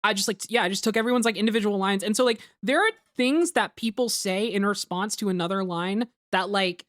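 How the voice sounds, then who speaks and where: A woman giving casual group commentary as host, talking in a halting, rambling way and swearing freely. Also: medium pitch, no room sound, clean studio sound. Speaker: host and director of a fan dub, giving cast commentary. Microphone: close mic on an online voice call.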